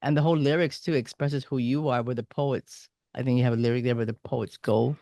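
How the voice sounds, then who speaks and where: A man's voice: medium pitch, fairly even intonation, brisk, warm tone, no room sound, clean studio sound. A man hosting an interview, eager and admiring as he chats with his guest. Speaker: radio host and interviewer. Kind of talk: casual interview chat.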